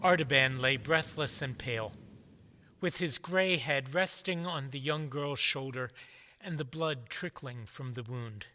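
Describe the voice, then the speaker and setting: A man's voice: mid-range voice, a little slow. A man reading scripted dramatic narration as the narrator of a story. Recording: loud music behind the voice.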